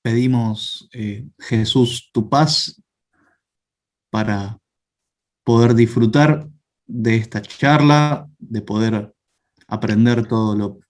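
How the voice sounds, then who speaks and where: A man with a Rioplatense Spanish accent saying a spoken prayer, slow and searching, repeating words. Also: mid-range voice.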